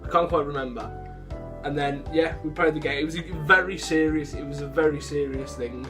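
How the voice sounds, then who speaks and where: Young guy vlogging, bit high voiced, rambly n keeps interrupting himself, music playing behind. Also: brisk. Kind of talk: casual chat to viewers.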